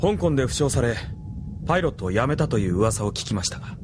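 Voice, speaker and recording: A man's voice: medium pitch, big pitch swings, fast, noisy background, natural voice. A man playing an ambitious, domineering young officer in an anime audio drama. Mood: formal. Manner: commanding, domineering. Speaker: military officer.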